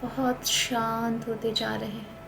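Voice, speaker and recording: An Indian woman leading a guided meditation in a calm, soothing, instructional manner with spaced phrases. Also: on the high side, slow, gravelly, over music, low-quality recording. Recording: noticeable background noise.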